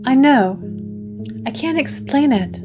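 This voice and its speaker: A woman's voice: sits high, expressive, slow, voice pushed up. A woman doing a fragile, haunted ancient woman. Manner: whispery, faltering, fragile. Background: guitar music.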